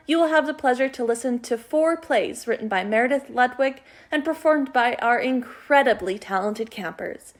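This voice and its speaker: A woman giving a scripted, measured introduction as presenter of amateur radio plays. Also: sits high. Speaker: presenter introducing a youth radio play performance. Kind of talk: scripted narration.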